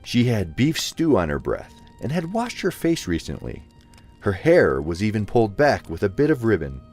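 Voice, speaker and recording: A man's voice: lowish voice, huge pitch swings, moderate pace, a little mellow, soft background music. A man narrating a scripted fantasy audio drama in a dramatic storytelling style.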